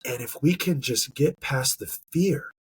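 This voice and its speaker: Husky, stuffy-nosed man, earnest. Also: medium pitch, unhurried, no room sound. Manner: earnest and emphatic, searching for words. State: stuffy nose from allergies. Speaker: podcast co-host. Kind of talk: casual podcast conversation.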